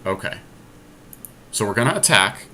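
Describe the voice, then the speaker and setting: Low, bright-voiced man talking through game moves. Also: expressive, normal speed. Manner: thinks aloud step by step through moves. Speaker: podcast host playing an online card game. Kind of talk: casual gameplay commentary with a teammate. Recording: clear background noise, amateur screen capture.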